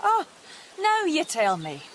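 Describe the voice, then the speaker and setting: A tough grieving mum in a radio play, high voice, wry and bossy, noisy outdoors behind her. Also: huge pitch swings, slow pace, a bit bright, full character voice. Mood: wry, wistful. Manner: wry, urgent, commanding. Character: determined grieving mother, protective and sardonic. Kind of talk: radio drama acting.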